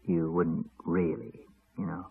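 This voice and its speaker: An actor playing a cruel, sardonic husband who needles his wife in measured tones, in the style of 1980s radio drama. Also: low-pitched, creaky, slightly rough, full character voice. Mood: coolly cruel. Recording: narrow-band 1980s radio recording, phone-like.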